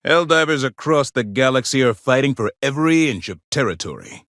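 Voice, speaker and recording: An actor playing a solemn, zealous military democracy officer in a video game, speaking in stern, formal, grandiose oratory. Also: male voice, medium pitch, expressive, a little fast, a bit bright, no room sound, studio quality. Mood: urgent, commanding. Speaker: military officer and mission briefer. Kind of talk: scripted video game voice acting.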